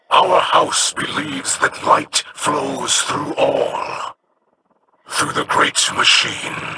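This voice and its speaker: A man voicing a solemn, reverent alien house leader in a ceremonial video game speech, grave and halting with a breathy delivery. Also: on the high side, background noise, exaggerated voice.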